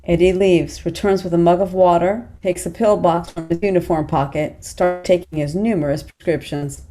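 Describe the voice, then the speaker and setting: A woman's voice: lowish voice, fairly even intonation, moderate pace, music in the background. A woman reading screenplay stage directions as scripted narration for a table read.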